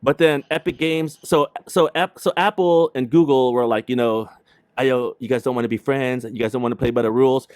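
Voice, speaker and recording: A man's voice: mid-range voice, steady tone, fairly quick, no room sound, clean studio sound. A man hosting a casual livestream chat in an informal, slangy way. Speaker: host of a video game livestream show.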